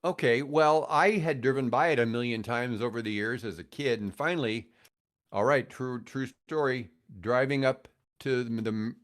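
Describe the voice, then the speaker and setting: A man's voice: mid-range voice, normal speed, a bit bright. An older American man chatting casually on a podcast as a guest, telling anecdotes in a rambling, conversational way. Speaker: podcast guest, retired radio host.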